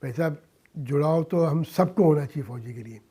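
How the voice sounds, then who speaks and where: Emphatic mature man, slightly high mellow voice. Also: husky. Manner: emphatic, deliberate, short phrases, respectful address, some English mixed in. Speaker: interview guest, social-cause filmmaker and philanthropist. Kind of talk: earnest radio interview talk.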